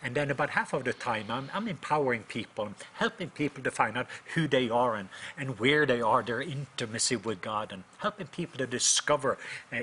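A man's voice: medium pitch, expressive, fairly quick, sharp tone, a little hiss or hum. A man in his fifties from Norway with a Norwegian accent, speaking conversationally as an interview guest about his own experience. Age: 50s. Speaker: guest, minister and author.

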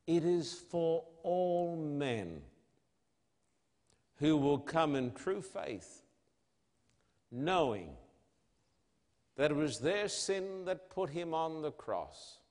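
A man delivers a sermon in a somewhat high-set voice. He speaks very slowly and emphatically, leaving weighty pauses and posing rhetorical questions. Long reverberation from a large hall trails his words. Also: expressive, a little mellow. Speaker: preacher. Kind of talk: evangelistic sermon.

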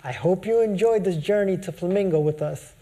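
A man's voice: high voice, a little fast, mellow. A man hosting a show, reading a scripted, upbeat-worded outro. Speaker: host. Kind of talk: scripted show sign-off narration.

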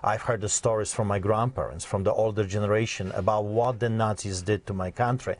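A pastor from Poland, speaking earnestly and emphatically as an interview guest. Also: male voice, on the low side, fairly even intonation, brisk.

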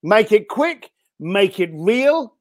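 Man, interview guest, high voice, blunt, punchy, dry sound. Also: very animated, slow pace, no echo. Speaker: podcast guest. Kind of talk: interview chat.

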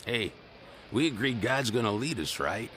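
An actor playing a plainspoken, wary Skid Row friend in a radio drama. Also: male voice, medium pitch, lively intonation, normal speed, fairly bright tone, lightly acted. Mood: wary, supportive. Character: plainspoken, wary male Skid Row pal seeking work. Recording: faint street background noise. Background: faint street ambience.

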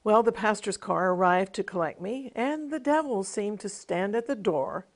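A woman's voice: mid-range voice, animated, moderate pace. A middle-aged woman television minister giving a devotional teaching with a personal testimony, in an earnest, emphatic preaching cadence.

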